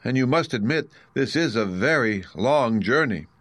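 A man's voice: mid-range voice, expressive, moderate pace, a bit bright, dry recording, voice pushed up. A man voicing a longing, patient tin man in a storybook reading, with a plaintive lift.

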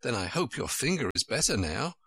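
A man voicing a gentle, polite, puzzled seven-year-old girl in a storybook. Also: medium pitch, very animated, a little fast, husky, no echo, heavily acted. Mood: polite, doubtful. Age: child.

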